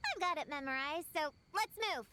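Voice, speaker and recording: A woman's voice: high-pitched, animated, a little fast, noisy background. A woman voicing an earnest, bossy little witch girl who lectures about creatures in a game. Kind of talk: game voice acting.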